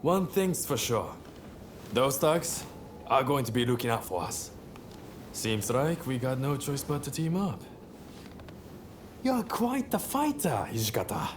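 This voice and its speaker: A man's voice: medium pitch, very animated, a little slow, long pauses, background noise. A man playing a cocky, flamboyant rebel samurai and gambler in a video game cutscene, with a sardonic, theatrical drawl. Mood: amused.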